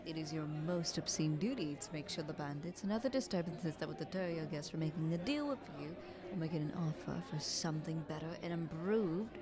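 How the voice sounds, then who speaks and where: A woman playing a scheming, flattering queen in an audio roleplay, speaking in a haughty, ornate courtly manner. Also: sits low, close to an everyday voice. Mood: insinuating, condescending. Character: visiting queen, scheming, flattering, condescending royal negotiator.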